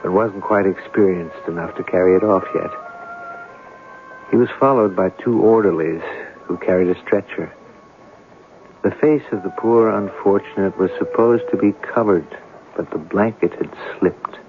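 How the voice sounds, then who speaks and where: An actor playing an earnest, romantic newspaper reporter in a radio mystery, with a deliberate, theatrical delivery. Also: male voice, low voice, lightly acted. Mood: wistful, haunted. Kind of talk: 1970s radio drama narration and dialogue. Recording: tinny, noisy old radio broadcast.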